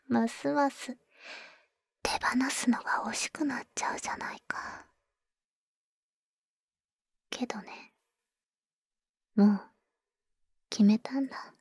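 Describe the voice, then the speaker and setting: A woman playing a tender, protective vampire older sister in a Japanese ASMR audio roleplay, soft and breathy with cool, boyish phrasing, close on the mic. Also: high-pitched, takes it slowly, long pauses, no echo, full character voice. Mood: gentle, wistful. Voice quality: soft, breathy, often whispering. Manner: husky, cool, boyish speech. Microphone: close studio microphone, dry.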